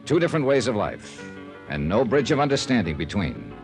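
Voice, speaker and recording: A man's voice: on the low side, wildly swooping pitch, fairly quick, over music. An American man playing a wry, hard-boiled insurance investigator in a classic radio detective drama, with a clipped, sardonic delivery. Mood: dry narration. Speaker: lead actor and narrator of a radio detective serial.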